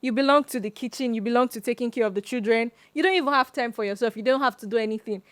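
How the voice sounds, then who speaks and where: A young woman from Nigeria speaks earnestly and firmly in a casual podcast conversation. Her voice sits fairly high, and the recording is close and dry. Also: rapid-fire, no echo. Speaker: podcast co-host. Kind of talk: casual chat.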